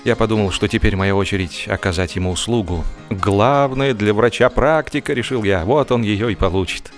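Expressive low-voiced man telling a comic story. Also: normal speed. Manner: expressive, acting out comic hesitations and dialogue. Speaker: narrator of a comic audio drama. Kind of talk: theatrical humorous first-person storytelling. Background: music.

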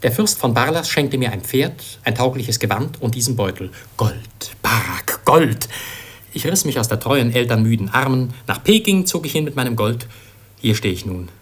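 An actor playing a proud, impetuous young exiled prince in a verse radio play, with husky, declamatory delivery. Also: male voice, mid-range voice, lively intonation, moderate pace. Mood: fervent, vengeful. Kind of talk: classical German radio play acting in verse.

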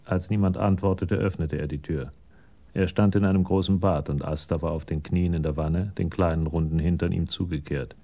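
An actor as the narrator of a crime radio play, reading in a measured, detached manner. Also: male voice, low voice, fairly even intonation, warm tone, a little hiss or hum.

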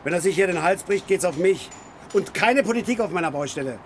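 An actor playing a brash, mocking construction brigade boss in a radio drama, blunt and jeering. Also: male voice, high voice, animated, fairly quick, slight background noise.

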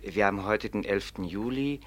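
An actor playing a friendly, respectful prison warder in a radio drama, chatty in manner. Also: male voice, on the low side, normal speed, natural voice. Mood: amiable. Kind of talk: German radio drama acting.

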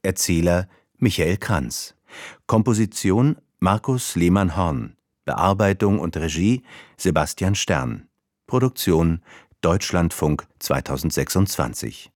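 Male announcer, low voice, dry studio sound, scripted radio credits. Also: lively intonation, takes it slowly, a little mellow, no room sound.

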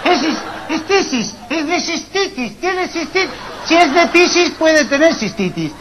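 A man's voice: very high voice, expressive, a little slow. A man playing a muddled, pompous professor who rambles in a live stage comedy sketch. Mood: tongue-tied. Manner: rambling, tongue-twisting, giggly. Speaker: comic stage performer. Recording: live stage with audience and noise.

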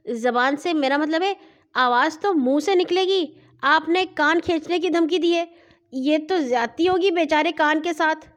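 Woman doing a nervous polite big brother in a story, high voice, argues a bit. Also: animated. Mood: timid protest. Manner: timid, polite. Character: timid older brother, polite and wryly argumentative.

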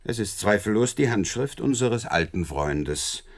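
An actor playing a smug, amused Scotland Yard investigator in a German radio drama, talking in a wry, condescending, self-assured way. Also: male voice, lowish voice, animated, unhurried, slight background noise.

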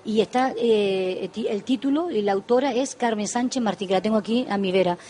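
A woman's voice: medium pitch, brisk, mellow. Lady radio host chatting with guests, super affectionate and gushing, bit of noise behind her. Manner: effusive, affectionate, repeats and restarts phrases. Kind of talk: live radio interview.

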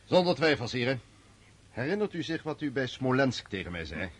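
A man's voice: medium pitch, sing-song, moderate pace, slightly rough. A man playing a loyal, dutiful military aide in a radio play, respectful and soldierly. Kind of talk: Dutch radio play acting.

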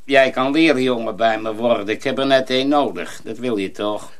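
An actor in a radio drama playing a sinister, commanding master of a mill, speaking curtly. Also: male voice, medium pitch, natural voice. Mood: quiet menace. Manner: slow, curt, commanding.

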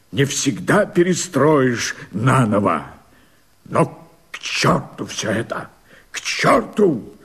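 An actor playing a tormented but resolute rebel leader, delivering verse with brooding weight in a radio drama. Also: male voice, mid-range voice, husky, full character voice. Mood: grimly resolute.

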